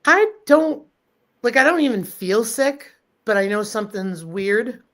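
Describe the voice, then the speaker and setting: A woman's voice: a little high, lively intonation, measured pace, fairly bright tone. Snuffly woman hosting a livestream. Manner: friendly, greets viewers by name, gives practical advice. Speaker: host. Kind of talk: casual livestream chat.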